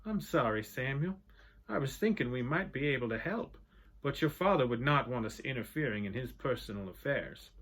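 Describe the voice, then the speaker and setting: A man voicing a kindly, formal old man who speaks with gentle courtesy. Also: medium pitch, expressive, normal speed, husky. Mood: regretful. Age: older.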